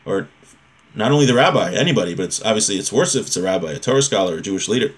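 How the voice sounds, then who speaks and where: A man's voice: lowish voice, lively intonation, rapid-fire. A man, giving an informal religious lesson in a conversational, explanatory way. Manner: conversational, often checks in with rhetorical questions. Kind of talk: informal lecture, reading a text and explaining it. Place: small home room with bookshelf.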